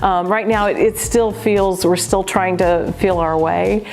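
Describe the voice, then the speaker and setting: A woman's voice: mid-range voice, fairly even intonation, unhurried, a little mellow. A woman who owns a quilt shop, giving an earnest, emphatic customer testimonial. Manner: earnest, emphatic, restarts when moved. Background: faint background music.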